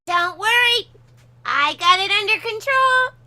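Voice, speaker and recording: A plucky little girl racer for a cartoon. The voice is tiny, high and sharp, with lively swoops and a cocky, eager manner. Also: measured pace, full character voice. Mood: confident. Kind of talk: animated film script table read.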